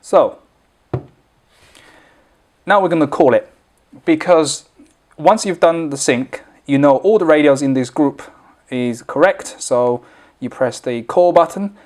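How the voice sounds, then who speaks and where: A man's voice: on the high side, slow pace, long pauses, darkish tone. A man presenting an informal, unscripted product tutorial, explaining things step by step as he demonstrates.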